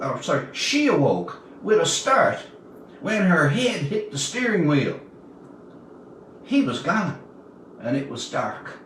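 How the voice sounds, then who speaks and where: A man of about seventy from Newfoundland, Canada, reading his own tale aloud as a storyteller, with deliberate, dramatic pauses. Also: high voice, a bit bright. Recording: roomy, distant mic, faint background noise.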